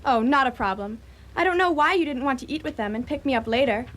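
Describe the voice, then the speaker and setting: A woman's voice: high voice, fast talker, a bit bright. A woman playing a polite, chatty girlfriend in a radio comedy. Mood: friendly, chatty. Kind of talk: live radio comedy drama acting.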